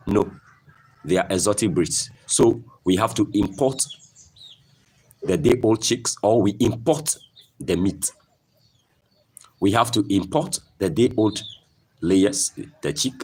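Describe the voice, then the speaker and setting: Deep-voiced Ghanaian man urging farmers. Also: a little slow, long pauses, darkish tone, husky, low-quality recording. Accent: Ghanaian English. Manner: emphatic, repeats phrases and keeps asking "okay?". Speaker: poultry farmer. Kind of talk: motivational farming talk.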